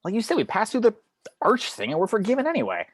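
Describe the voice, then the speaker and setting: A man playing a brash, sardonic fallen angel in a script table read, arguing excitably with some stammering. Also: high voice, quick pace, full character voice. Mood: eager, defiant.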